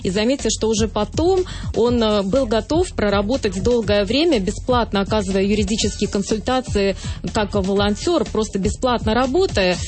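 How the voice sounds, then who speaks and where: A woman presenting a radio talk show, brisk and encouraging as she wraps up the segment. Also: mid-range voice, animated, darkish tone. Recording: radio broadcast with faint music.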